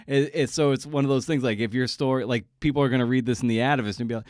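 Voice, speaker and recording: An American man hosting a casual conversational interview, speaking in a halting way and often repeating words as he works toward his point. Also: medium pitch, steady tone, darkish tone, no echo, clean studio sound. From Massachusetts, United States. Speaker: podcast host interviewing a writer.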